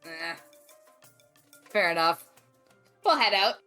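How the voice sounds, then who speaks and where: A woman voicing a scrappy, excitable spaceship crew member, blurting slangy lines in an improvised tabletop game. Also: lowish voice, slow, faint music behind, pitched below the performer's own voice, heavily acted. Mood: skeptical, casual. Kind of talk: casual improvised tabletop roleplay.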